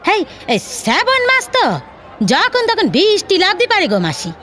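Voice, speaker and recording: A woman's voice: very high-pitched, wildly swooping pitch, moderate pace, a bit bright, a faint crowd, exaggerated voice. A woman playing a cheeky, boastful younger housemaid in a Bengali audio drama, argumentative, with a colloquial rural West Bengal accent. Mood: casual, sly.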